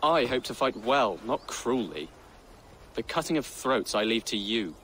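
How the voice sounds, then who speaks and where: A man's voice: medium pitch, expressive, unhurried, bright, forceful tone, slight background noise, rough recording. An actor playing an earnest, pious young nobleman in a video game. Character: gentle devout young nobleman, principled. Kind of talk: video game cutscene acting.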